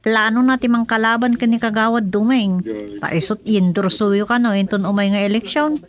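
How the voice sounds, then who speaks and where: Babaeng gumaganap na masunuring sekretarya ng barangay. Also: female voice, a little high, steady tone, normal speed, natural voice. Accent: Ilocano. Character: dutiful female village secretary. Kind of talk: radio drama acting.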